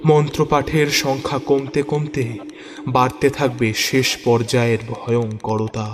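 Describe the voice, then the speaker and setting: Slow, dramatic man in deep reverb. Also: level tone, noisy background. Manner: theatrical, halting with repeated phrases and suspenseful pauses. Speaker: audio story narrator. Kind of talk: dramatic first-person scripted horror story narration. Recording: long reverb, music bed underneath. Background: background music.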